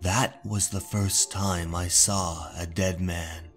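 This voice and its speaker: A man narrating a scripted horror story as host, deliberate, with dramatic pauses. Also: low-pitched, steady tone, soft background music.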